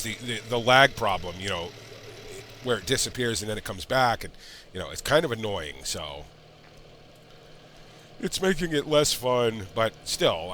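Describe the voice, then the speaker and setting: A man's voice: fairly low pitch, very animated, normal speed. A man with a congested, sick-sounding voice, chatting in a rambling way while he plays and comments on a farming game. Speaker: gaming channel host. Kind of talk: casual let's-play commentary. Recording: loud game engine noise underneath.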